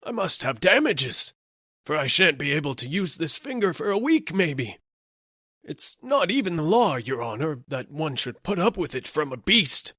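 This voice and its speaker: Man acting an aggrieved, high-pitched whining workman. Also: sing-song, moderate pace, sharp tone, breathy edge, dry recording, clean studio sound, full character voice. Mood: aggrieved, pleading. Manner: plaintive, indignant, wheedling. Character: aggrieved, whining male workman seeking damages. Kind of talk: audiobook character acting.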